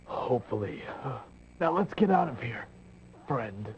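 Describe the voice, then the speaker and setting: A man's voice: mid-range voice, sing-song, unhurried, creaky. An actor playing a wounded, grateful man making peace in a video game cut-scene. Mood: weary, hopeful. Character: wounded man, grateful, reconciling with his former enemy. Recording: muffled lo-fi 1990s game audio, noisy.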